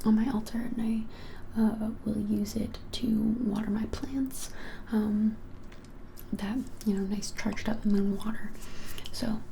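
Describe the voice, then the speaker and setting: A woman in her twenties whispering softly and without hurry in an ASMR roleplay as a shop keeper, often hesitating. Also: mid-range voice, level tone, vocal fry, slight background noise. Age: 20s. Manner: soft whispering, hesitant and unhurried. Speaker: ASMR creator playing a witch shop keeper. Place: small plain-walled room, indoors.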